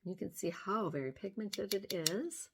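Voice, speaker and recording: An older woman with a slightly hoarse voice, gently talking through a makeup tutorial step by step, filmed close on a phone at home. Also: mid-range voice, moderate pace, background noise. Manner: gentle, step-by-step explaining while applying makeup. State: hoarse from sinus trouble. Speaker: beauty vlogger. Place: small room at home, indoors. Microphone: phone camera close up.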